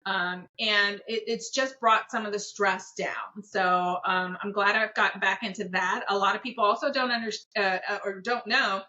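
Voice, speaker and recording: Bright-voiced businesswoman guest, lo-fi sound. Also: mid-range voice, fairly even intonation, moderate pace, few pauses, slight background noise. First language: Korean. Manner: warm, reflective, many fillers. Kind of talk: casual interview conversation. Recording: dull lo-fi audio.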